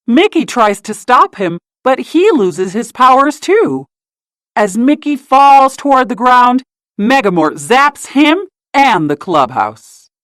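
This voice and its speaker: A woman narrating a children's storybook in an expressive, deliberate read-aloud style. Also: a little high, no echo, clean studio sound.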